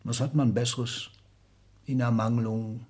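A man's voice: mid-range voice, fairly even intonation, unhurried, darkish tone, breathy edge. An older man acting in a German radio play as a disillusioned, commanding industrialist patriarch with an emphatic, theatrical delivery. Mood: weary, confessional.

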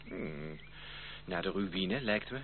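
Vasthoudende minnaar, levendige stem. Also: male voice, deep voice, lively intonation, slow. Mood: coaxing. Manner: coaxing, earnest. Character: persistent, affectionate male cousin courting a stubborn woman. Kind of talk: period radio drama acting. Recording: muffled old radio play, faint hiss.

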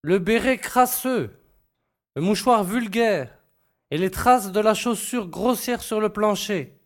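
A man's voice: high-pitched, takes it slowly. An actor playing a confident, impatient young male reporter-detective in a French audio drama. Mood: assured.

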